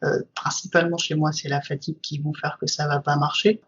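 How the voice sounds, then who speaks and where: Woman guest, husky voice, dull lo-fi sound, chatty explainer. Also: deep voice, flat delivery, brisk. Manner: explains at length with frequent fillers. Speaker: guest, trainer. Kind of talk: casual conversational explaining.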